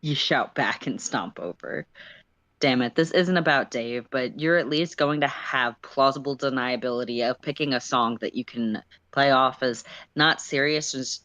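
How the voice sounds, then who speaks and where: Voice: medium pitch, fairly bright tone, dry recording, highs cut off. A narrator reading a story aloud on a casual livestream, with playful, self-deprecating asides.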